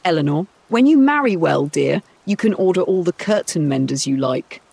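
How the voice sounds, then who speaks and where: A man's voice: fairly high pitch, animated, moderate pace, vocal fry, a little mellow, breathy edge, no echo, exaggerated voice. A man voicing a weary, sardonic middle-aged noblewoman stepmother in a storybook. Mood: dryly sardonic. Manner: sardonic, practical.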